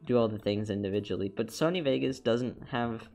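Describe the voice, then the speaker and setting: A man's voice: lowish voice, fairly quick, darkish tone, faint music behind. A young man with a congested, nasal voice chatting casually as a streamer while he animates, rambling with repeated words and laughs.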